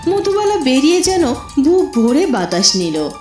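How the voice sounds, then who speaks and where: A woman's voice: high-pitched, sing-song, a little slow, darkish tone. A woman narrating a scripted cartoon story in a dramatic storytelling style. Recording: roomy echo with music behind.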